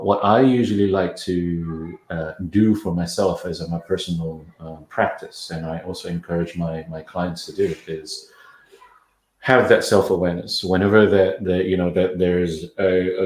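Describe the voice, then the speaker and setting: Man, from Indonesia, very low voice, halting thoughtful talk, echoey room. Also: darkish tone. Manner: thoughtful, many restarts and repeated words. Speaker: parenting coach. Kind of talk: podcast interview.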